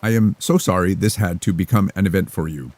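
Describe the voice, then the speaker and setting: A man voicing a calm, mysterious young woman who speaks formally and rhythmically. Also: low-pitched, no echo, heavily acted. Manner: formal, rhythmic, unhurried delivery.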